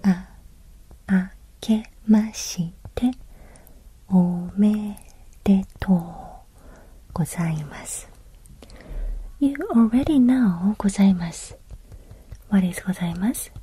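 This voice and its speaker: Woman, Japanese accent, breathy whisper, slow pace, mellow tone, gentle language teacher. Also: mid-range voice. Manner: gentle, simple short phrases with pauses. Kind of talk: whispered, soft-spoken language lesson.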